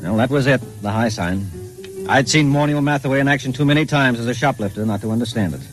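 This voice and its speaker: An actor playing a wry, streetwise pal who narrates in a knowing, conversational way, in old-time radio style. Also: male voice, mid-range voice, fast, over music, lightly acted. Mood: wry, confiding. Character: wry, streetwise narrator, the painter's scheming quick-witted pal.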